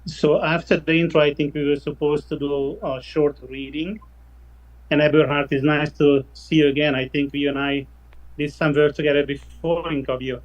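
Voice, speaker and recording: A man's voice: on the high side, level tone, moderate pace, highs cut off. A man with a non-native English accent hosting a hybrid group meeting and reading a text aloud in a friendly, careful way. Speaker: workshop host and reader.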